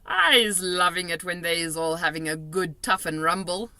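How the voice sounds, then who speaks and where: A woman's voice: fairly low pitch, moderate pace, pushed and bright, dry recording. A woman voicing a kindly male storybook giant who explains things earnestly in muddled grammar. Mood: pleased.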